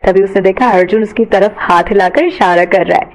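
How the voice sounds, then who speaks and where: A woman's voice: mid-range voice, brisk. A woman reading scripted narration for an audio story.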